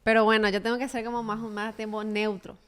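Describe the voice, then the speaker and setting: A woman's voice: on the high side, level tone, brisk, a bit bright, clean tone. A woman from Paraguay with a Paraguayan Spanish accent, chatting casually as a podcast co-host. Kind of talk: casual conversational co-hosting.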